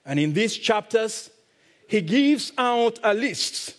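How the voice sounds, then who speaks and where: A man with a Kenyan accent, a visiting pastor preaching a sermon slowly and deliberately, drawing out words for emphasis. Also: high-pitched, very animated.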